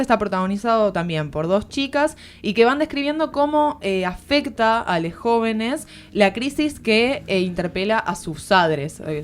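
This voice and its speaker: A woman's voice: mid-range voice, smooth. A woman with an Argentine accent giving a conversational book review as a radio columnist, explaining earnestly and searching for words. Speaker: literary columnist on a radio show. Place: radio studio.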